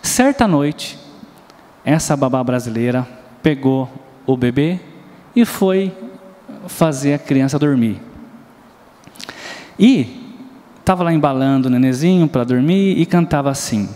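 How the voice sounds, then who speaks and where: A man's voice: mid-range voice, lively intonation, measured pace, long pauses, mellow. A man with a Brazilian Portuguese accent preaching a sermon in a church, telling stories, asking the congregation questions and singing bits of nursery rhymes. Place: church hall. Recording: long hall reverb.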